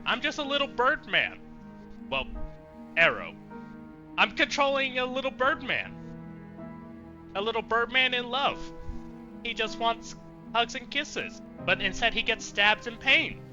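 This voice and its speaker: Male let's-player, high and very lively, profane, exasperated, reactive live commentary. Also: lo-fi sound. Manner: exasperated, reactive, swears freely. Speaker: video game streamer.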